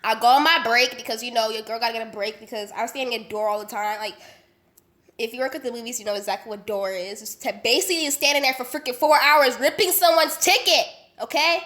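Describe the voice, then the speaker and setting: Bright, nasal young woman with a cold. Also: on the high side, fast. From Las Vegas. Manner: chatty, animated, full of slang and fillers. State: sick, congested and nasal. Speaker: vlogger. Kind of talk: casual storytime vlog.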